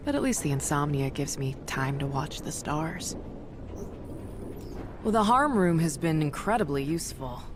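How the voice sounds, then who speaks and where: An actress playing a battle-weary warrior goddess in a video game, resolute and wry, speaking in measured, theatrical, formal phrases. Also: female voice, low voice, background noise. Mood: pensive, restless. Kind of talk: dramatic video game voice acting.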